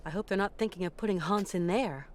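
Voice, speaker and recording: A woman's voice: medium pitch, quick pace, slight background noise, close to an everyday voice. An actress voicing a determined young woman adventurer in a game, speaking dryly and resolutely. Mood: uneasy. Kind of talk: game character dialogue.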